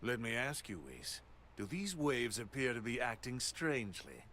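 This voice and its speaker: A man's voice: mid-range voice, very animated, normal speed, bright, forceful tone, slight background noise. A man voicing a haughty, blunt god in an anime video game, with an imperious and dismissive manner. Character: haughty, bored, blunt male god of destruction.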